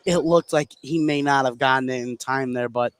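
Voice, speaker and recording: A man's voice: mid-range voice, moderate pace, background noise. A man giving live color commentary on basketball, explaining plays in a conversational way. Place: indoor high school gym, courtside.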